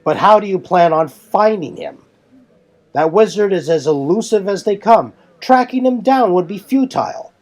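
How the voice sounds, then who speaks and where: A man's voice: high voice, expressive, moderate pace, darkish tone, voice pushed up. A man voicing a proud, suspicious warrior in a fan audio drama, with a stern, challenging, formal way of talking. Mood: skeptical, challenging.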